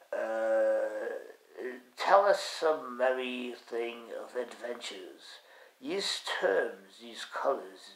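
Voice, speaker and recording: Halting, very slow, gravelly man reading aloud. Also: mid-range voice. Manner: halting, stumbling over archaic words. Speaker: amateur reader. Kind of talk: reading Middle English verse aloud. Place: kitchen at home, indoors. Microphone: phone or webcam at arm's length. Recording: echoey room.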